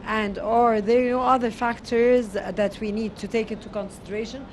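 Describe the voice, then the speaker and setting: An interviewer asking formal questions in a hesitant, halting way. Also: medium pitch, fairly even intonation, a little hiss or hum.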